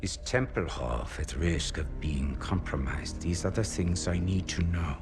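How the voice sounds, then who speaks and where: A ruthless commander in a war video game, speaking slowly in a very deep, gravelly voice. Game music sounds clearly behind. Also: male voice. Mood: cold. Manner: slow, cold and commanding. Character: cold, ruthless senior male Nazi commander. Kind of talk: video game cutscene acting.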